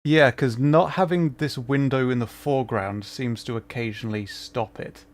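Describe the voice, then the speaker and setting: A man's voice: mid-range voice, normal speed. A man livestreaming a game, chatting informally to viewers and explaining as he plays. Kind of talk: casual livestream commentary with chat.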